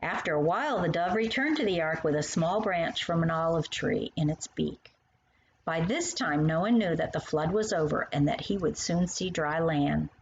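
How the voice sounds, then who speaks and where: A woman narrating a children's story as its author, in an expressive style that acts out the dialogue. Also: low-pitched, moderate pace, vocal fry, highs cut off, a little hiss or hum.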